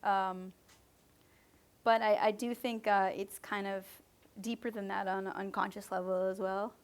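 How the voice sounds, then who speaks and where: A woman's voice: medium pitch, level tone, unhurried, smooth. A woman from Canada's West Coast, a musician answering interview questions in a thoughtful, chatty way with many fillers. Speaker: musician guest. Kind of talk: relaxed conversational interview answers.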